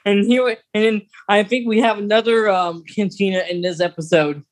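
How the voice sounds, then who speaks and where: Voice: medium pitch, steady tone, normal speed. A podcast co-host chatting casually about fan news in a wry, conversational way.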